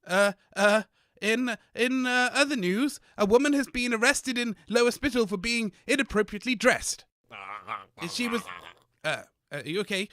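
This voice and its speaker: A man's voice: very high-pitched, expressive, normal speed, bright, forceful tone, no echo, clean studio sound, heavily acted. A man with a British accent playing a fussy, easily flustered host on a comic radio news show. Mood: mock newsreader. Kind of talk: mock news reading and comic radio acting.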